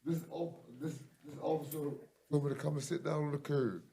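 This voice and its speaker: A man's voice: a little high, little pitch movement, fairly quick, gravelly, mellow. A man in his seventies, a defendant pleading with a judge at a bond hearing, rambling and repeating himself with many a "ma'am". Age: 70s.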